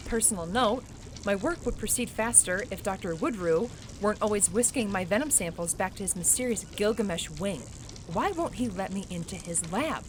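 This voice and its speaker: A woman playing an earnest, idealistic female botanist in an audio drama, dictating notes in a deliberate, articulate way. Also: medium pitch, lively intonation, natural voice. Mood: frustrated curiosity. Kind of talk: hobby audio drama acting. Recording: faint background noise and ambience.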